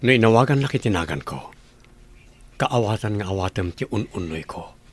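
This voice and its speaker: Smitten boss in a radio soap, earnest, lowish voice. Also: male voice, expressive, moderate pace. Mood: earnest wooing. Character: earnest lovestruck male boss courting his employee. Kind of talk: Filipino radio drama acting.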